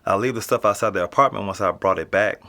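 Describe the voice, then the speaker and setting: A man's voice: on the low side, fast talker, bright, forceful tone. A young man with an American accent playing a casual, slangy, streetwise teenage boy being interviewed in a naturalistic audio drama. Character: nonchalant streetwise teenage boy living in an apartment building.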